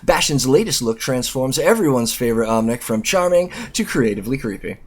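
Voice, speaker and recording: A man's voice: mid-range voice, sing-song, brisk. A man hosting a video, reading an article aloud in an informal way and breaking off for his own sweary asides. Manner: informal, self-interrupting, sweary. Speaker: YouTube host and reviewer.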